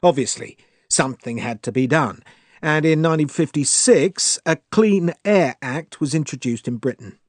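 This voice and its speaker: Higher-pitched man reading a lecture, dry studio-like sound. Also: lively intonation, normal speed, dry recording. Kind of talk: scripted academic lecture.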